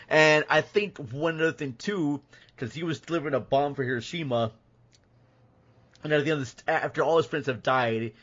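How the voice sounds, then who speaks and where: A man's voice: mid-range voice, fairly bright tone. Casual male podcast host, slightly muffled. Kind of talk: casual film discussion.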